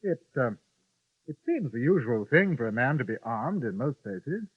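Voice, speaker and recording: An actor with an English accent playing a courteous, dry-witted journalist and narrator in a 1950s radio western. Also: male voice, mid-range voice, sing-song, moderate pace, breathy edge, dry recording. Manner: courteous, formal and lightly ironic. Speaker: newspaper correspondent and narrator. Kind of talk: 1950s radio drama acting. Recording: dull old radio broadcast with faint music.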